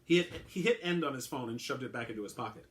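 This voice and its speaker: A man's voice: mid-range voice, animated, fast talker. A man, an author, reading aloud as a dramatic storyteller.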